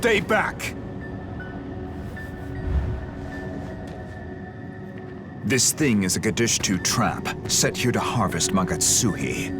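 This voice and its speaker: Male, very low register, as a cold, pragmatic game hunter, stern and formal, loud music underneath. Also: wildly swooping pitch, a little slow. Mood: stern, resolute. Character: grim, coldly pragmatic male demon hunter. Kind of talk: dramatic video game voice acting.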